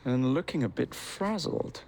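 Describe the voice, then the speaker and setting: A man's voice: mid-range voice, expressive, a little slow, a little mellow, a little hiss or hum. A man playing a cocky, wry young Victorian adventurer in a game cutscene, with a teasing, breezy manner. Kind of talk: video game cutscene acting.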